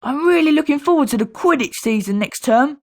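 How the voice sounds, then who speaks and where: Slightly high young wizard pupil, gossipy, conversational, clear diction, video game. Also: female voice, lively intonation, brisk. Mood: friendly. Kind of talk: scripted video game voice lines.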